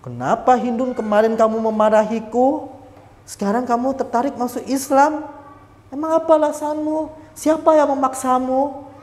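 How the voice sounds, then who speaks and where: A woman voicing a calm, devout grown man in a children's story, speaking gently and in a measured way. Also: high voice, pitched above the performer's own voice, lightly acted. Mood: earnest. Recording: echoey hall reverb.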